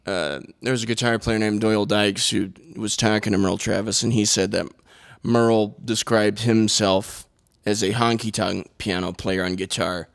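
A young man with a raspy, hoarse voice, a guitarist chatting casually and laid-back with many fillers and laughs, on a studio mic in a small room. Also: on the low side, steady tone, moderate pace. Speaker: guitarist guest. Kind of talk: casual interview chat. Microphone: studio mic on stand.

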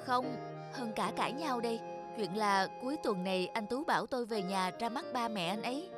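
Actress as anxious young cartoon girlfriend, high voice, hesitant and unsure of herself. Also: moderate pace, over music, lightly acted. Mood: worried. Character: young, nervous, self-doubting girlfriend. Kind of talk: cartoon voice acting.

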